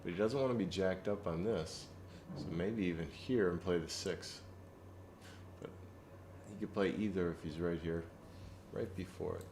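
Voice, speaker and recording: Low-voiced male pool commentator. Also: normal speed, slight background noise. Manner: relaxed, explains shots, dry joking. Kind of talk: live pool match commentary.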